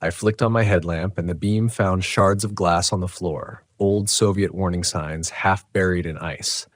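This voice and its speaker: A male narrator with a very deep voice tells a first-person horror tale. His delivery is measured and dramatic, with literary phrasing. The sound is dry, with no room around it. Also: steady tone, no echo.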